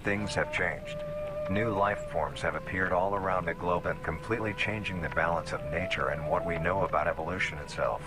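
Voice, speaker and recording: A man acts as a solemn nature documentary narrator with a very low voice. The delivery is formal, measured and scripted, with music clearly playing behind it. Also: natural voice. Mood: solemn, instructive. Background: background music.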